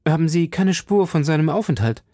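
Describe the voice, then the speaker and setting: Guy playing a cocky young gentleman in an audiobook, brisk questions, raised pitch, dry close sound. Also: animated, no echo. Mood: pressing. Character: brash, inquisitive young gallant, proud and impulsive. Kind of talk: audiobook character dialogue.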